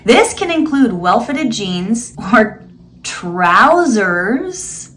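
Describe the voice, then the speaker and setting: A woman's voice: on the high side, big pitch swings, very slow, a bit bright. A woman in her late thirties, a vlogger who reads search results aloud and pokes fun at them with dry, sarcastic asides. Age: 30s. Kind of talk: casual vlog reading and commenting.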